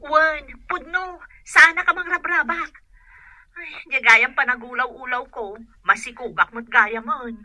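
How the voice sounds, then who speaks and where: A woman playing a pregnant young wife who gently reasons and coaxes, in an Ilocano radio drama. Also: high-pitched, measured pace, no echo, highs cut off, exaggerated voice. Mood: pleased.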